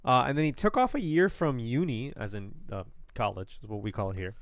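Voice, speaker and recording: A man's voice: mid-range voice, very animated, a little fast, no room sound. A young man from Florida with an American accent, co-hosting a casual live podcast, rambling with lots of fillers and laughs. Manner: rambling, laughs often, many fillers and restarts. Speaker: podcast co-host.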